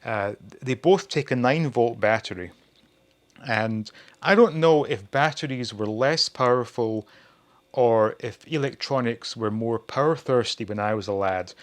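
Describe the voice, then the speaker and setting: A man's voice: mid-range voice, expressive, unhurried. Casual man in his forties reviewing retro toys. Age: 40s. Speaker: host of a retro toy channel. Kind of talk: casual hobby review to viewers.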